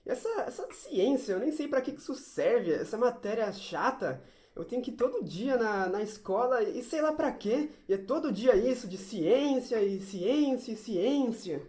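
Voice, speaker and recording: Woman playing a whiny, very animated schoolboy. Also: a little high, normal speed, natural voice. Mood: sulky. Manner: stammering, exasperated. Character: whiny, sulky schoolboy who hates science, easily flustered. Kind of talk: amateur audio drama acting.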